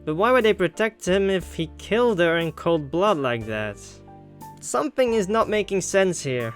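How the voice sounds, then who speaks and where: Visual novel streamer, mid-pitched, casual and sweary, reasoning aloud, music underneath. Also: big pitch swings, moderate pace. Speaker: video game streamer reading a visual novel. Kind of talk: let's play commentary, reading visual novel dialogue aloud.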